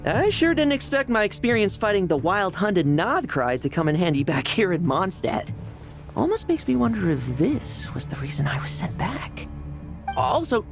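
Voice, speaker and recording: Battle-hungry warrior, cocky, offhand, very animated pitch, game dialogue, music behind. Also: male voice, normal speed. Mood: musing, eager. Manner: breezy, offhand, thinking aloud.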